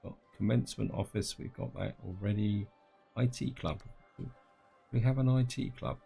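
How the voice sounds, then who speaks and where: A man with a slightly croaky throat giving casual gameplay commentary, thinking aloud and talking to his viewers. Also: on the low side, moderate pace, warm tone, faint music behind. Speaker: gaming channel host.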